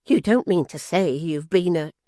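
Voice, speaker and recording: A reader voicing an indignant old mother-in-law, high and outraged. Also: moderate pace, dark tone, no room sound, studio quality, close to an everyday voice. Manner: shrill and outraged.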